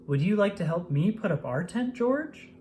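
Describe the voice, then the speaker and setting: A storybook narrator voicing a friendly, caring man who gently instructs his little companion. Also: medium pitch, big pitch swings, moderate pace, slight background noise, lightly acted. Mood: friendly, helpful.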